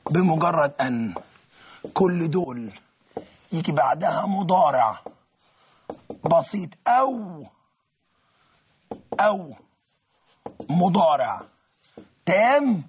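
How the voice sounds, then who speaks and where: A man with an Egyptian Arabic accent, teaching English grammar step by step. He translates each phrase and often clears his throat. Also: high-pitched. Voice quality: husky, gravelly voice with frequent throat-clearing. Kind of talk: classroom grammar explanation.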